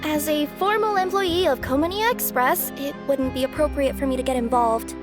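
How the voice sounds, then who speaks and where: A woman's voice: high voice, normal speed, loud music behind the voice, lightly acted. A woman voicing a small, polite, anxious courier in an anime video game, speaking hesitantly. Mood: worried.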